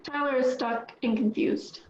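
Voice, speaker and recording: A woman's voice: sits high, fairly even intonation, unhurried, dull audio, a little hiss or hum. Woman reading stage directions, roomy sound.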